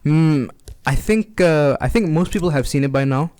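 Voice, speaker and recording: A young man as a podcast guest, chatting casually in a measured, deadpan way. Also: fairly high pitch.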